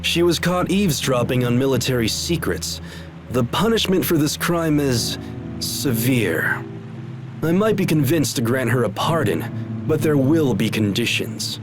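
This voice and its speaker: An actor playing a haughty, scheming noble officer in a video game, with a smug, mannered menace. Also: male voice, mid-range voice, big pitch swings, measured pace. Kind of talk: dramatic video game voice acting. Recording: music behind the voice.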